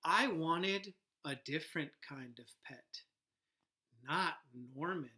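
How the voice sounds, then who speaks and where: A man, a teacher, reading a storybook aloud to children in an expressive, deliberate way, with asides and questions to his listeners. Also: a little high.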